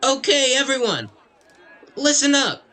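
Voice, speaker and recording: A man's voice: extremely high pitch, some chatter behind, full character voice. A man playing a flirtatious, easily flustered Christmas elf in a radio drama, with theatrical, exaggerated delivery. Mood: flustered, pleading.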